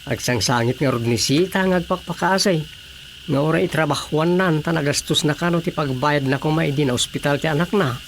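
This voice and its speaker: Give this to a man acting as a talkative, thoughtful villager in an Ilocano radio drama. His Filipino voice has an Ilocano accent and sits a little high, with a heavy gravelly creak. A faint layer of background noise sits under the recording. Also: brisk, natural voice. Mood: gossiping, sympathetic.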